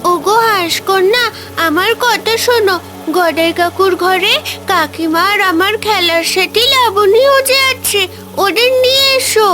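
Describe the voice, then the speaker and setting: A woman's voice: extremely high pitch, measured pace. A woman voicing a sorrowful, kind-hearted village child in a cartoon fairy tale, imploring in a tearful way.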